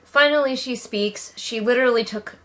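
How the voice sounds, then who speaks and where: A woman giving casual, sarcastic read-along commentary as a book reviewer, with animated, mocking emphasis. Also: on the high side, normal speed.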